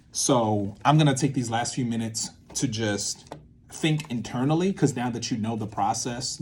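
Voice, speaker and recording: A man hosting a casual vlog, thinking aloud in an informal, rambling way with sudden drawn-out, emphatic words. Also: mid-range voice, darkish tone, slight background noise. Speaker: video series host, theatre maker. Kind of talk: casual unscripted creative-process talk, thinking aloud.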